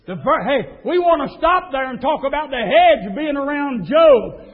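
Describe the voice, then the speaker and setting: A man preaching a fiery sermon, dropping to a low murmur and then rising to a shout. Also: expressive, moderate pace. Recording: tinny phone-like sound.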